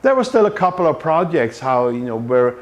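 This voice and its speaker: A man's voice: on the high side, sing-song, brisk. Man giving a casual talk. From Sweden. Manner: conversational, frequent fillers and restarts. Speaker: Scrum trainer. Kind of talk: conference talk. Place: meetup room.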